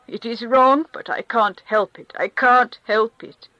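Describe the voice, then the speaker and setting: A woman's voice: on the high side, a little slow, pushed and bright, no room sound, highs cut off, pitched above the performer's own voice. A woman reading a crushed, humiliated middle-aged man in a thin, strained, pleading voice. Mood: anguished. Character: grieving humiliated middle-aged male official. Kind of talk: audiobook character reading.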